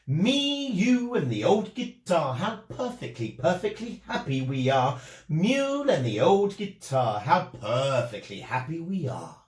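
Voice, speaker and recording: A devoted, wistful tomcat from a storybook, acted out by a man, with a plaintive, singsong way of talking. The pitch sits fairly high and swings freely, in an echoey room. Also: sing-song, a little slow. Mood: happy singing. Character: Tabby McTat, devoted, wistful male tabby cat who sings.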